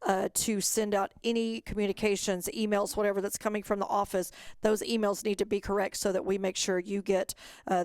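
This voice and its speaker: Woman walking people through webinar info step by step, smooth clear voice, pretty dry sound. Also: mid-range voice, darkish tone, dry recording. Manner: instructional, step-by-step. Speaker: program staff presenter. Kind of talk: informational webinar presentation.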